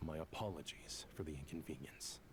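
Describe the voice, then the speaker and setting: A man playing a composed, formal scholar who leads a council in a video game, speaking in a measured way. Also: very deep voice, noisy background.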